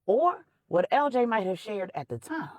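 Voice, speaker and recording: Woman storyteller with a lively, dry-recorded voice. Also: mid-range voice, normal speed, no echo. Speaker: storyteller, narrator. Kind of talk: scripted audio story narration.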